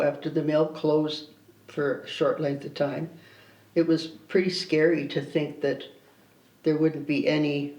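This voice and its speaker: A man in his eighties, a retired teacher, reminiscing in a measured way in a documentary interview. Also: a little high, darkish tone. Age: 80s. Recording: roomy room sound, faint background music.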